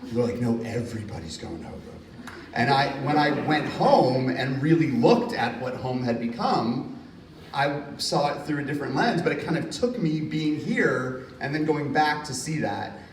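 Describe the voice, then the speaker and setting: A man from New Jersey, an author at a university reading, telling lively anecdotes and acting out quotes with bursts of laughter. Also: medium pitch, moderate pace, a little hiss or hum. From Hudson County, New Jersey. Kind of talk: casual storytelling in a Q&A. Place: university reading event hall. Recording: roomy, distant, faint ambience.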